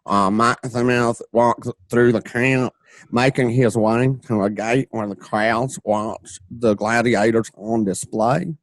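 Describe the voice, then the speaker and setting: A man's voice: mid-range voice, fairly even intonation, takes it slowly, no echo. A man narrating, reading out scene changes and stage directions from a drama script.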